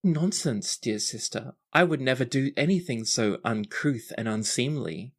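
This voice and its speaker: A man's voice: mid-range voice, expressive, a little slow. A man puts on an earnest young princess for a tabletop fantasy game. She speaks in effusive, formal courtly phrases, sweet and proper with mischief underneath. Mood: mock innocent.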